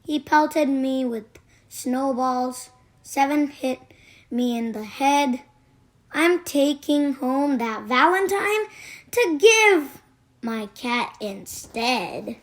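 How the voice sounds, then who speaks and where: A woman reading a children's storybook aloud in a deliberate, expressive way. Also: high-pitched. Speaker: children's book narrator. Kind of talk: read-aloud storytelling for kids.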